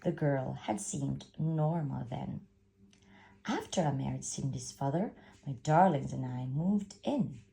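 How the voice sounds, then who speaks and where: Expressive woman storyteller, slow and swooping. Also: a bass voice, creaky. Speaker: storybook reader. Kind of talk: dramatic read-aloud storytelling.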